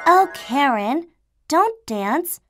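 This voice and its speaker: A woman voicing a kindly older female figure in a children's English-lesson cartoon, speaking in a deliberate, carefully enunciated way. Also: high-pitched, big pitch swings, over loud music, exaggerated voice. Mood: gently urging.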